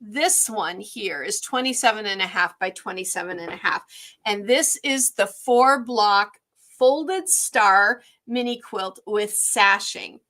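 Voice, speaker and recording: Warm-up host for a craft stream: woman, a little hoarse, upbeat, filler-heavy, bright tone. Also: medium pitch, lively intonation, slow. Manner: chatty, upbeat, frequent ums. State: slightly hoarse. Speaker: quilting workshop host. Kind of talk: live online quilting tutorial.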